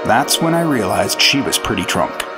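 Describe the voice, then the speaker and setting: A man's voice: fairly low pitch, sing-song, normal speed, over loud music, low-quality recording. An actor playing an earnest 1950s FBI agent, narrating his case in the first person in a noir-style radio drama. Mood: tense, earnest.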